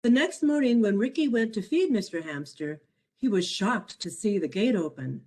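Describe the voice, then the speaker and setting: Female author-narrator for a picture book, medium-pitched, lively melodic range, measured read-aloud delivery. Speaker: author reading own children's picture book. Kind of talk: scripted storybook narration for children.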